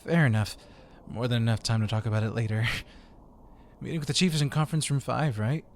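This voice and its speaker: A man's voice: mid-range voice, a little fast, a little hiss or hum, close to an everyday voice. A man playing a chatty, eager agent in an audio roleplay, upbeat, friendly and a bit sheepish. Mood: sheepish, agreeable. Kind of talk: audio roleplay acting, one side of conversation.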